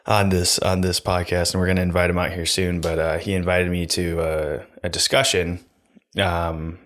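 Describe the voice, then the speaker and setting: A young man co-hosting a podcast, talking in a calm, even, monotone way close to a studio mic in a small room. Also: low voice, moderate pace. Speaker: podcast co-host. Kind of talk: casual chat. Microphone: studio mic on stand, close.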